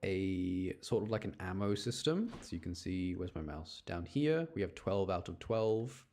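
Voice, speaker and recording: Low-voiced man streaming a game, noisy background. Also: animated, measured pace, warm tone. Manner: chatty, explains mechanics, mutters to himself. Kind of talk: casual live gameplay commentary. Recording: clear background noise, game sounds behind. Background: video game sound.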